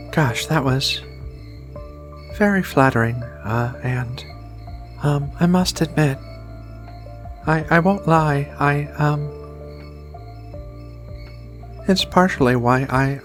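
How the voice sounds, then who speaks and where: A woman playing a shy, flirty, affectionate alien in a soft-spoken, hesitant ASMR roleplay. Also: deep voice. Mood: bashful, flustered. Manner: hesitant, bashful, murmuring with many pauses. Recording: quiet music behind the voice.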